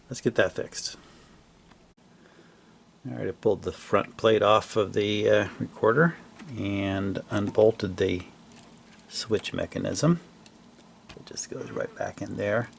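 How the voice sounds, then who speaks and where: A man casually narrating a hands-on repair demonstration in a halting, unscripted way, recorded in a home workshop on a camera mic. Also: deep voice, vocal fry, slight background noise. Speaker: hobbyist repairer presenting his own video.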